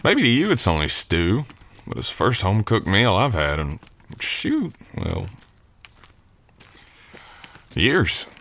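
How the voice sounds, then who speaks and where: A man playing a polite drifter cowboy hired on an Old West ranch, talking in an unhurried folksy drawl in an ASMR roleplay. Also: fairly low pitch, wildly swooping pitch, a bit bright, full character voice. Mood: modest, good-natured. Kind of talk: ASMR roleplay acting, addressing the listener.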